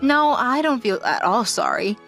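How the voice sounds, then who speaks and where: A woman's voice: a little high, very animated, normal speed, vocal fry, fairly bright tone, faint music behind, heavily acted. A woman playing a confident, direct company auditor in an English manga dub. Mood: cool, triumphant. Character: self-assured, teasing female company auditor.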